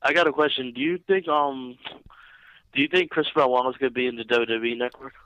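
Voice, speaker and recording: Quick bright-voiced male caller. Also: mid-range voice, dull audio. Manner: eager, asks quick questions. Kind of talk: casual call-in chat.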